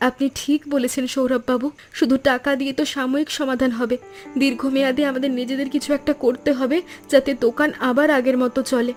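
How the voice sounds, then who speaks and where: A woman voicing an earnest, polite college-age granddaughter in an animated cartoon story. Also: sits high, fast, soft background music, close to an everyday voice. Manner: polite, earnest, speaks in full careful sentences.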